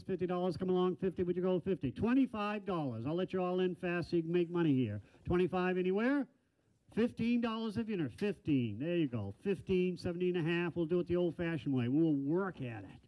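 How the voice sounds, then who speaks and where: Older man, auctioneer, high voice, rapid bid chant, folksy asides, handheld mic, dull lo-fi sound. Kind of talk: auction calling. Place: large indoor auction hall.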